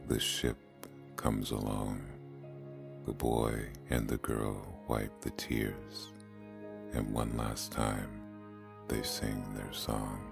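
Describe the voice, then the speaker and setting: An actor playing a solemn, tender storyteller, reciting poetic lines in a gravelly voice. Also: male voice, extremely low pitch, little pitch movement, very slow, music in the background, full character voice. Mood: wistful, solemn. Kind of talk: poetic scripted narration.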